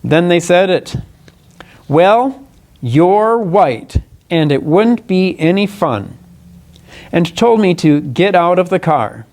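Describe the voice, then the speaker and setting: A man giving a prepared press conference statement, speaking in an earnest, deliberate way with halts and self-corrections. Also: sits high, animated, darkish tone. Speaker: congressional candidate. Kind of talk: press conference statement, partly read aloud.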